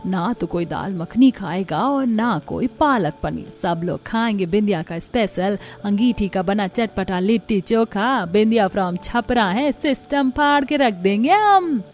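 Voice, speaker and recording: A cocky village bride with a Bihari accent, as a woman acts her out. She drawls slowly and with swagger, sure her cooking will win. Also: medium pitch, animated, darkish tone, soft background music, natural voice. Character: feisty village daughter-in-law, cocky.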